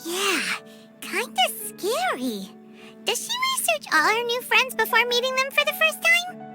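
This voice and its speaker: A woman voicing a tiny, excitable female fairy companion who talks about herself in the third person, in a game cutscene. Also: very high-pitched, unhurried, over loud music, heavily acted. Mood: chatty. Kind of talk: video game cutscene dub.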